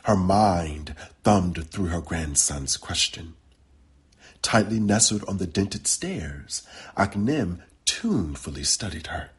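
A man narrating a literary short story as its author, with deliberate, dramatic phrasing. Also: low-pitched, animated, breathy edge. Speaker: author reading his own short story.

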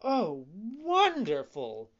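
A reader voicing a naive, excitable wooden puppet boy who is easily astonished, in an audiobook. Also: mid-range voice, drawn-out words, dull audio, voice pushed up, heavily acted. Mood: eager. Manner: wide-eyed, eager, easily astonished. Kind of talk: audiobook reading.

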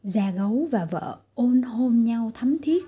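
A woman reading a scripted fairy tale for children as a storyteller, with a husky, breathy voice. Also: a little high, animated, slow pace, warm tone, faint music behind.

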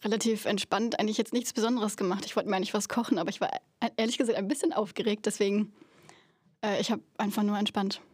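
A woman in her twenties from Berlin, an actress, answering interview questions in a relaxed, easygoing way. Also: mid-range voice, fast, husky, dry recording, studio quality. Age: 20s. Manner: relaxed, easygoing, hesitant fillers. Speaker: guest, actress.

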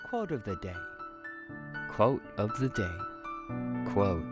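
A man's voice: on the low side, sing-song, slow, music in the background. A man in his twenties hosting a solo motivational podcast. He talks in a casual, chatty, blunt way and swears freely. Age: 20s. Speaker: podcast host.

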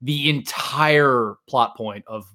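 A man's voice: mid-range voice, takes it slowly, sharp tone. A man hosting a podcast in casual chat, talking in a rambling, informal and self-deprecating way. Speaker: podcast host.

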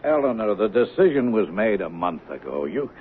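A man's voice: lowish voice, sing-song, normal speed. A man playing an evasive, placating producer in an old-time radio drama. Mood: awkward, placating. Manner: hesitant, hedging, placating. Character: evasive middle-aged male soap opera producer breaking bad news. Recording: narrow tinny old radio, faint hiss.

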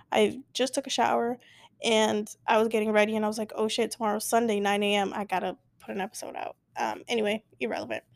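A woman's voice: a little high, no echo. A woman in her twenties hosting a casual solo podcast, rambling with many fillers and self-interruptions. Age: 20s. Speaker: podcast host. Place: at home, late at night.

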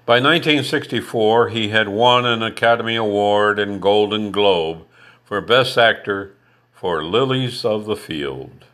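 An older man from Canada, whose first language was French, hosting a podcast with a deliberate, scripted biographical narration. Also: on the low side, fairly bright tone. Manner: measured, deliberate reading. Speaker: podcast host.

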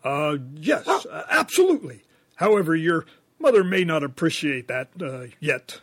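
A man's voice: fairly high pitch, very animated, normal speed, no echo, clean studio sound. A man playing an earnest, bumbling handyman dad in a comedy radio sketch, sheepish and hesitant when explaining himself. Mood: sheepish, defensive.

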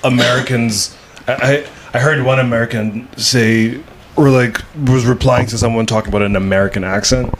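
A man's voice: mid-range voice, steady tone, brisk, background noise. A man chatting casually as a guest comedian, explaining his views earnestly with many restarts. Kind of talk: casual chat.

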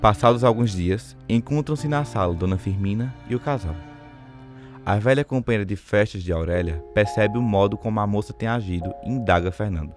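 A man's voice: on the low side, brisk, faint music behind. A Brazilian man narrating a radio soap opera in a scripted, dramatic storytelling style.

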